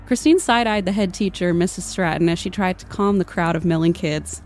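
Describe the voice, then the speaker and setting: A woman's voice: fairly low pitch, brisk, a little mellow. A woman narrating a scripted comedic tale in a mock-dramatic, literary manner. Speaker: podcast storyteller. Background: music under the voice.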